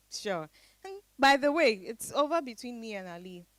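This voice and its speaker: A woman's voice: a little high, lively intonation, moderate pace, a bit bright, dry recording. A woman playing an emotional, frustrated married woman in a dramatized reading, speaking expressively and emphatically. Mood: subdued. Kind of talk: dramatized stage-style reading.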